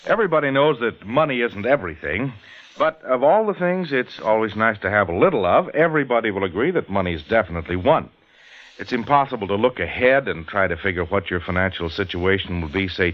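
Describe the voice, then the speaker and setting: A man announcing on 1940s radio, reading a scripted public-service pitch in a measured, persuasive manner. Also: a bit bright, low-quality recording.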